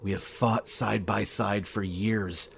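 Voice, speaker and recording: A man playing a steadfast, grave goblin warrior in a fantasy audio drama, speaking in measured formal phrases. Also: on the low side, steady tone, gravelly, slight background noise. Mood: grave, pleading. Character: loyal male goblin warrior, gruff steadfast comrade.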